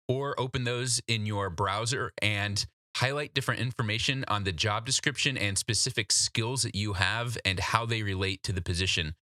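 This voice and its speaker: Guy hosting an advice podcast, crisp bright voice, very dry close sound, talks straight to you, practical and conversational. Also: lowish voice, no room sound. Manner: practical, direct coaching, addressing the listener. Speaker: podcast host giving job interview advice. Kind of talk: instructional solo podcast narration, conversational.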